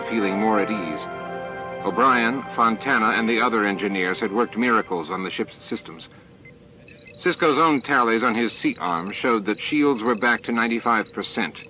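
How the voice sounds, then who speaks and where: A man with a fairly low voice narrates a science fiction audiobook. He reads scripted prose over clear background music. The sound is dull and lo-fi, like an old book on tape.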